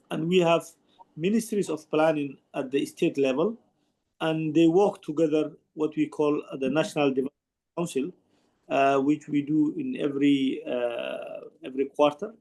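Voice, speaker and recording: A man speaks in an online panel discussion. His voice sits a little high with a gravelly edge. He explains earnestly and stops often to restart his sentences. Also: fairly even intonation, moderate pace, mellow. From Somalia. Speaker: conference participant asking a question.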